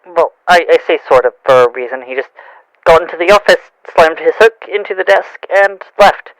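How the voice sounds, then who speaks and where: An actor playing a nervous, flustered young coworker with a hesitant, stammering delivery in an audio drama. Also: male voice, on the high side, steady tone, moderate pace, dry recording, natural voice, poor audio.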